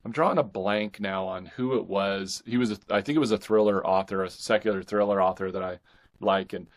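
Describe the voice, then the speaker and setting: A man with a low-pitched voice talks as a guest author in a relaxed interview. He is earnest and reflective, feeling his way through thoughts in halting phrases and doubling back on words. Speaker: guest, indie fiction author. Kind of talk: casual interview conversation.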